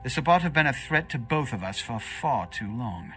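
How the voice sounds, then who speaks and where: An actor playing an imperious, scheming vampire prince in a video game, with a pompous, formal and grandiloquent manner. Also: male voice, medium pitch, sing-song, moderate pace, bright, forceful tone, soft background music. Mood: grave, commanding. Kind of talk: dramatic video game voice acting.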